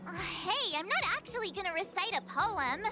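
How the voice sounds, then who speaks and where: A woman's voice: very high voice, very animated, brisk. A woman acting a bubbly, chatty, playful young woman traveller in a video game. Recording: game music behind the voice.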